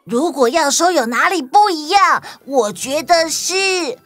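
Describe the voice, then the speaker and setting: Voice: high voice, expressive, unhurried, fairly bright tone. A performer voicing an eager, anxious little flying lion helper in a children's audio story, earnest and easily flustered. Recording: music bed behind voice.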